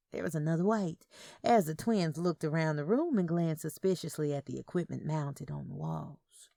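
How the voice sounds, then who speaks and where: Casting: female storyteller for audio fiction, very animated pitch, creaky edge. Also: no room sound, studio quality. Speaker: audiobook narrator. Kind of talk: scripted narration of Southern Gothic fiction.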